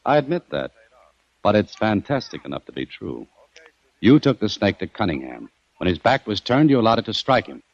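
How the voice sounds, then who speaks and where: Deep-voiced, shrewd radio detective. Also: male voice, big pitch swings, normal speed, dry recording. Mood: coolly accusing. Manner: commanding, sardonic, needling. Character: shrewd, cool-headed male investigator baiting a murder suspect. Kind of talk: old-time radio crime drama acting. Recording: dull vintage radio recording.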